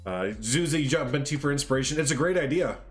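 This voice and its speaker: Give me a stuffed-up man casually hosting a stream, friendly and rambling, mid-pitched voice. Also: lively intonation, quick pace, faint music behind. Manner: friendly, rambling, reads out chat. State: stuffed up from a sinus cold. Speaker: livestream host. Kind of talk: casual livestream chat.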